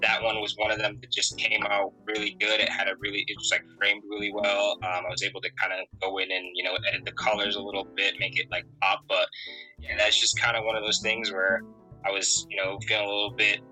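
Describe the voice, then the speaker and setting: Bright-voiced relaxed man telling stories. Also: lowish voice, fast talker, soft background music, low-quality recording. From Connecticut, USA. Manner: relaxed, rambling, many fillers. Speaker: interview guest, musician and photographer. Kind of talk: casual conversational storytelling.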